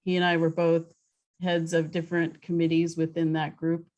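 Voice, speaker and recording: A woman hosting a webinar interview on a video call, introducing her guest in a relaxed, chatty way. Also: low-pitched, normal speed, highs cut off. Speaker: host.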